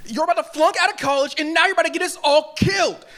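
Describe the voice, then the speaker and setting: A woman's voice: very high voice, quick pace, fairly bright tone. A woman reading a bratty teenage boy who whines and accuses his older brother, at a live table read. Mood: accusing. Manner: whiny, accusing.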